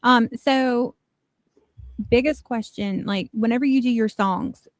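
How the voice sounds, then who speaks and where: A woman co-hosting a casual chat show, teasing and quick with questions. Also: on the high side, dry recording, clean studio sound. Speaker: co-host.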